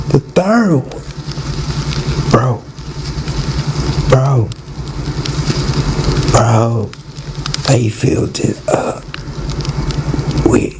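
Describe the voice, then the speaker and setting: A man's voice: mid-range voice, vocal fry, background noise. A man giving a casual, rambling spoken monologue in slang, often repeating words and restarting phrases. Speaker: narrator and storyteller.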